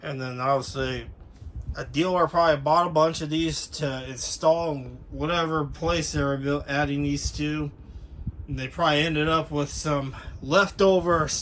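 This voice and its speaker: A man's voice: on the high side, a bit bright. An American man giving a casual, unscripted product overview as a hobbyist, explaining things in a rambling, matter-of-fact way. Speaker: hobbyist video creator. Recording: clearly noisy room ambience.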